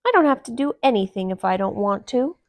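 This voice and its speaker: A woman's voice: a little high, expressive, fairly quick, no room sound, studio quality. A woman voicing a stubborn male squirrel in a children's storybook, speaking defiantly. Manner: defiant, refusing.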